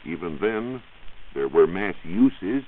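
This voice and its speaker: A man's voice: deep voice, big pitch swings, slow pace. A man with an American accent explaining as a science reporter in scripted 1940s radio style. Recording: tinny, lightly hissy 1940s radio recording.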